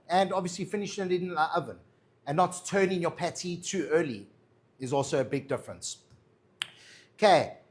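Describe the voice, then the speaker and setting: A man's voice: on the high side, a little mellow. A man with a South African accent, a chef chatting casually through a cooking demo and answering viewer questions. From Madeira, raised in South Africa. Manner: chatty, reassuring, tags lines with "all right?" and "yeah?".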